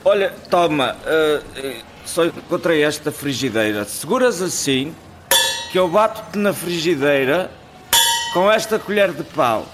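An actor playing an eager, flattering architecture critic in a radio soap. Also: male voice, a little high, a little slow, noisy background.

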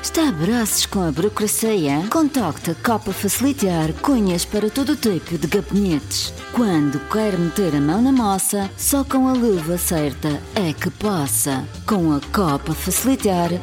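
A slick advert announcer, played by a woman, pitching in a polished, salesy way at a medium pitch. Music plays clearly behind her spoof corporate radio spot. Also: lively intonation, measured pace, natural voice. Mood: slick, persuasive. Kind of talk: parody radio advert read.

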